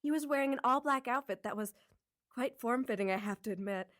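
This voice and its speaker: A woman playing a gushing high-voiced bank teller. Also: a little fast, a bit bright, close to an everyday voice. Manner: gushing, chatty. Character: dreamy young female bank teller.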